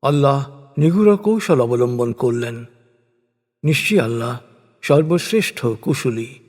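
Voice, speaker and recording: A man narrating translated scripture as solemn scripted prose, formal and declamatory, in measured short phrases. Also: mid-range voice, animated, darkish tone. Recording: long hall-like reverb.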